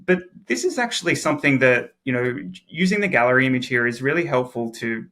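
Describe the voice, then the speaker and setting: A man with an Australian accent walking through a software demo in a relaxed, chatty way, full of "uh" and "um" fillers. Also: medium pitch, a little fast. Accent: Australian English. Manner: chatty, frequent "uh" and "um" fillers, repeats words. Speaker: product expert giving a demo. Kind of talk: casual technical demo walkthrough.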